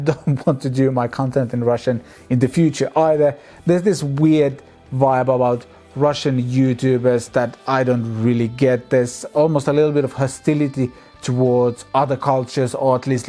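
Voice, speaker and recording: A man, hosting a casual music vlog and answering viewer comments in a relaxed, chatty way. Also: mid-range voice. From Finland. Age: 30s. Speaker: music channel host.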